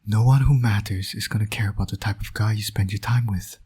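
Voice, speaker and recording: Husky, teasing villain dad played by a man. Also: lowish voice, steady tone, a little fast, mellow. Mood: flirtatious coaxing. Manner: teasing, wry, flirtatious. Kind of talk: intimate scripted audio roleplay.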